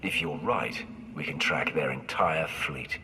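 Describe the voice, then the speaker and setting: A man playing a commanding, measured Sith warrior in a video game cutscene. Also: a bass voice, bright, forceful tone, a little hiss or hum, heavily acted. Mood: calm menace. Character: commanding deep-voiced Sith warrior, calm strategist.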